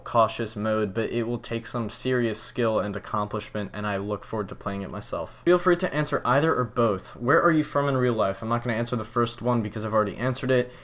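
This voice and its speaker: Relaxed young man rambling through viewer questions. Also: mid-range voice. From Poland. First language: Polish. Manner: relaxed, rambling, many fillers and restarts. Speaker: channel host answering viewer questions. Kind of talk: casual Q&A vlog.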